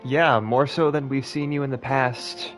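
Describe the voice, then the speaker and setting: A woman's voice: extremely low pitch, fairly even intonation, measured pace, over music. A woman giving casual, offhand reaction commentary over a game, muttering to herself and swearing. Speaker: gaming commentator.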